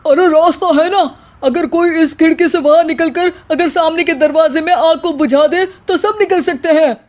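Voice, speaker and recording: A woman voices a brash young man at a cartoon party. The delivery is loud and emphatic, pitched quite high for the part. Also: normal speed. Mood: urgent. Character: brash, teasing young male party guest.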